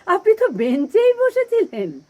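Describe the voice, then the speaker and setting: Casting: female actor as playful older lady for audio romance, high voice, swooping intonation, teasing and articulate. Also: huge pitch swings, measured pace, lo-fi sound, heavily acted. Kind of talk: audio play dialogue.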